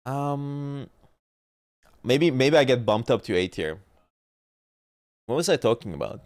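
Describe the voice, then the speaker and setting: A man's voice: medium pitch, expressive, measured pace, darkish tone, no room sound, clean studio sound. A man streaming casually, rambling and arguing about competitive teams and often losing his thread, with a stuffy nose. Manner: rambling, argumentative, trails off mid-point. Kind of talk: casual gaming chat.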